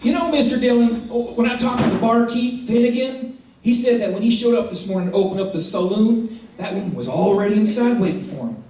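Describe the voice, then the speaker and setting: An actor playing an earnest, timid frontier deputy in an old-time radio western, talking in a folksy, deferential way. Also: male voice, very high-pitched, brisk, dark tone, slight background noise. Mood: earnest, gloomy. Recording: echoey, distant old radio recording, music.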